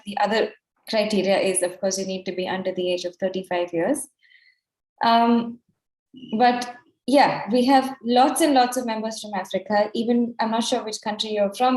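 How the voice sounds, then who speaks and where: A woman's voice: mid-range voice, darkish tone. A young woman giving an informal, explanatory answer as a panel delegate on a video call. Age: young adult. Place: online video meeting, indoor room. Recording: roomy, echoey video call.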